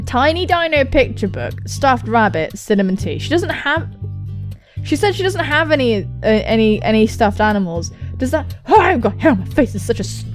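A young British woman doing game commentary and reading aloud, excitable and sweary, exclaiming often. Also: sits high. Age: young adult. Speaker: gaming video host. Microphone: close studio mic. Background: music.

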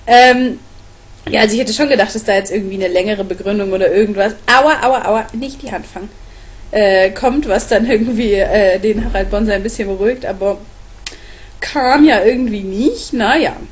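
A woman's voice: medium pitch, moderate pace. A young adult woman chatting casually and informally to camera as a vlogger, recorded at home on a webcam. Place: small room at home, on a sofa.

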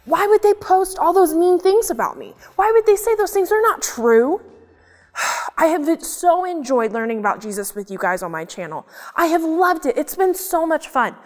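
A woman playing an excitable, dramatic young YouTuber in a children's church show, talking in a perky, exaggerated, sing-song way. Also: high-pitched, slow pace, full character voice. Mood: glum. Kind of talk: kids' church show acting.

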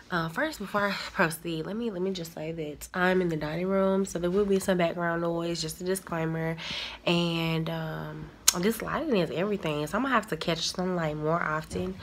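A woman's voice: sits low, a bit bright, a little hiss or hum. A woman, a little congested from a cold, chatting casually to viewers on a phone held in her dining room. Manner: chatty, addresses viewers as y'all. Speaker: vlogger. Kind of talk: casual chat to camera. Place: dining room at home, indoors. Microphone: handheld phone at arm's length.

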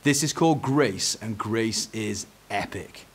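A man's voice: on the low side, unhurried, husky. A British man voicing a kind, forgiving father in a children's story, reassuring and instructive. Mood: gently teaching.

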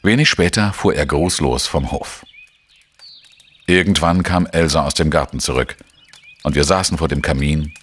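Low-voiced, world-weary male investigator. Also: moderate pace, pauses often, a bit bright, slight background noise. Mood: sombre, measured. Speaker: narrator and lead actor. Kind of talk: crime radio drama narration and dialogue. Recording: music behind the voice.

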